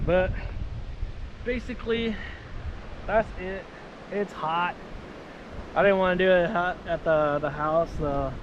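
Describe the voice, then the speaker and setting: Male vlogger, higher-than-average voice, informal off-the-cuff demo delivery, windy and noisy outdoor action-camera sound. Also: fairly even intonation, slow pace, long pauses, lo-fi sound. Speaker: vlogger demonstrating marine VHF radios. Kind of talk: casual, improvised product demonstration. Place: outdoors by the water. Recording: windy outdoor recording with water noise.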